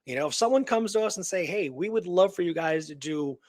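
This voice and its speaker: Guy cohosting a history show, rambly casual chat, bit higher voice, really dry sound no room. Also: lively intonation, fairly quick, a little mellow, dry recording. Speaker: co-host of an Old West history show.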